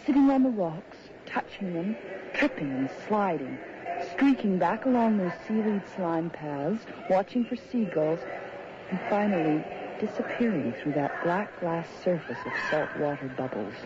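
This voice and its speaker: A woman's voice: fairly low pitch, lively intonation, measured pace. An actress playing a sensitive, imaginative young woman writer in a radio drama, with an uneasy manner. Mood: brooding. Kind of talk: radio drama acting with first-person narration. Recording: muffled, noisy old radio drama recording.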